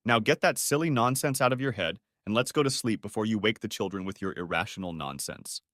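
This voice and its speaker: A man's voice: fairly low pitch, brisk, fairly bright tone, no echo, studio quality, lightly acted. A man voicing a dismissive wife who scolds her husband. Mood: scornful. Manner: impatient, dismissive. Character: sharp scornful wife, adult woman.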